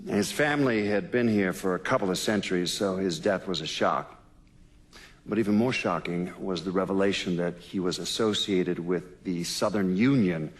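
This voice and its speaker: A man acting a sober official in a game, explaining events in a measured documentary style. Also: low-pitched, close to an everyday voice. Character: sober male official recounting a racist scandal.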